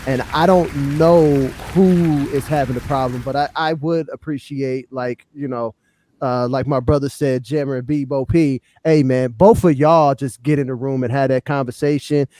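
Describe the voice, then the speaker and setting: A man's voice: mid-range voice, fairly even intonation, moderate pace, darkish tone. An American man co-hosting a hip-hop podcast, talking casually and colloquially, punctuating his points with slangy tags. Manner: colloquial, punctuates points with "man" and "you know what I'm saying". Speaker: podcast co-host.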